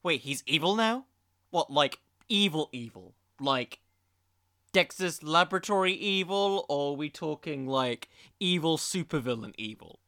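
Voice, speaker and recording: A man's voice: fairly high pitch, expressive, unhurried, sharp tone, no echo. A young man hosting an informal solo podcast, rambling along in a jokey, self-deprecating way. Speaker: podcast host.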